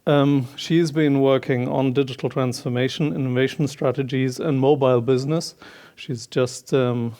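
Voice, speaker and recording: A man's voice: mid-range voice, steady tone, normal speed, dark tone. Bavarian guy hosting a panel, lots of ums, mid voice, hall stage mic. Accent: Bavarian German. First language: German. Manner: hesitant, many ums, long introductions. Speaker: panel moderator. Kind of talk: conference panel moderation. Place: large conference hall stage.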